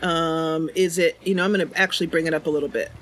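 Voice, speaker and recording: A woman's voice: deep voice, fairly even intonation, quick pace, fairly bright tone. A woman hosting a live game stream, chatting casually with viewers and thinking aloud. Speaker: live streamer and channel host. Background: game music.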